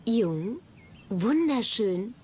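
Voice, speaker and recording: An actress playing a sly matchmaking court lady in a historical docudrama, deliberate and persuasive. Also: on the high side, big pitch swings, darkish tone, a little hiss or hum, exaggerated voice. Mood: coaxing. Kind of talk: dubbed historical docudrama dialogue.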